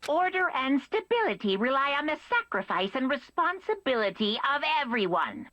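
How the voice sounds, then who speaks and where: A woman's voice: sits high, big pitch swings, moderate pace, pushed and bright, dry recording, full character voice. A woman playing a gleefully cruel robot bear headmaster in a video game, taunting in a theatrical sing-song. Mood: mocking.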